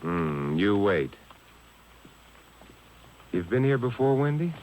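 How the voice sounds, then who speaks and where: Sardonic detective, very low voice, swinging intonation, deadpan, American, 1950s radio drama, faintly noisy old tape. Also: male voice, slow. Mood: dry, weary. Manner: languid, deadpan, teasing understatement. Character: wry, unflappable male detective, lazily sardonic.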